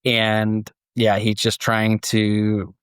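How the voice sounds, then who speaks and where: A man's voice: lowish voice, completely flat delivery, extremely slow, clean tone, no room sound. Man podcast host, dry close sound. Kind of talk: casual chat mixed with scripted story narration.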